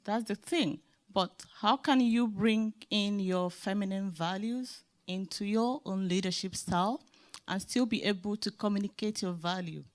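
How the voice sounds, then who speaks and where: A woman's voice: mid-range voice, a little slow. A woman with a Nigerian accent, a leadership specialist speaking emphatically on a conference panel. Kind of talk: panel discussion remarks.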